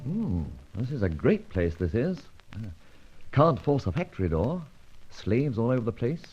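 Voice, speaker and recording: A husky, flippant British gentleman thief. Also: medium pitch, sing-song, moderate pace, faint music behind. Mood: jaunty, scheming. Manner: jaunty, wry, old-fashioned upper-class slang. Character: suave, flippant gentleman thief, witty and adventurous. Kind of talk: old-time radio detective drama acting. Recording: dull, lo-fi old radio recording.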